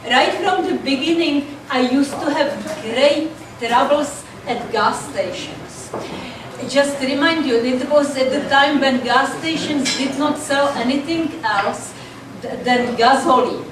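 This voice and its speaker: A woman's voice: sits high, measured pace, distant mic, noisy background. An older woman from the Czech Republic with a Czech accent, telling a dry stand-up routine about language mix-ups. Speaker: stand-up comedian. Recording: echoey room, mic from afar.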